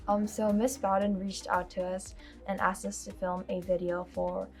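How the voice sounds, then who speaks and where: A woman's voice: mid-range voice, flat delivery, moderate pace, faint music behind. A teenage girl whose first language is Korean, speaking casually in an interview and saying "like" often. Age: teens. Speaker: high school student.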